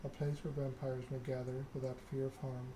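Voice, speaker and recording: A soft-spoken male game streamer talking in an ASMR style, measured and a little halting. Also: mid-range voice, level tone, noisy background.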